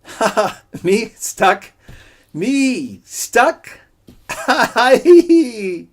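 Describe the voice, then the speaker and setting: A man voicing a vain, boastful male coyote trickster in a story, with a high, squealing, exaggerated falsetto and a wheedling, prattling manner. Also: big pitch swings, unhurried. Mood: shrill. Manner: wheedling, prattling, shrill outbursts.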